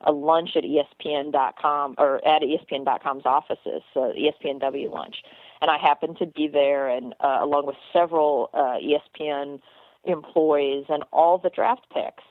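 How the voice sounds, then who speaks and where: A woman's voice: low voice, steady tone, normal speed, no room sound. A woman co-hosting a radio show, chatting earnestly about basketball with many restarts and repeated words. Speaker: radio co-host, basketball commentator. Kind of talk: casual radio chat. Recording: dry tinny phone line.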